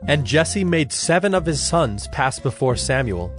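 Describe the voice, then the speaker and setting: A man narrating a scripted Bible story in a formal, measured storytelling manner. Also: fairly high pitch, sing-song. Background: music.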